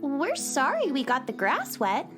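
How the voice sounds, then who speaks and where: A curious little girl in a children's radio play, very high and bouncing all over in pitch. She sounds eager and wide-eyed, with guitar music playing underneath. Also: huge pitch swings, a little slow, full character voice. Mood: sorry.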